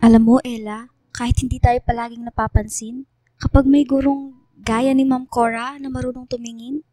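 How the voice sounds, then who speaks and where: A woman acts out a worried, caring schoolgirl in a radio drama. Her voice is high and mellow, recorded dry with faint music. She speaks earnestly and respectfully. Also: level tone, normal speed, no echo, lightly acted.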